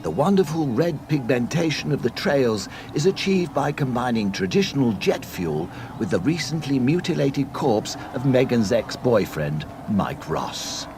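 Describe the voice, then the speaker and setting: A man narrating a scripted read-along storybook in a mock-solemn, deadpan comic manner. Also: mid-range voice, unhurried, few pauses, noisy background.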